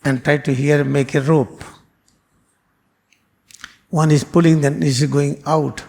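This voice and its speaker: A man's voice: a little high, flat delivery, takes it slowly, gravelly, darkish tone. A man, a spiritual teacher, explaining scripture in an earnest, repetitive lecture with phrasing. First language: Hindi.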